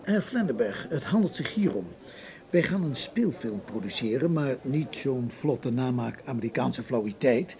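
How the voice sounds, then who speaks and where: An actor playing a formal, coldly suspicious Nazi film official in a Dutch radio drama, stiff and precise in manner. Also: male voice, mid-range voice, expressive, normal speed, warm tone, natural voice.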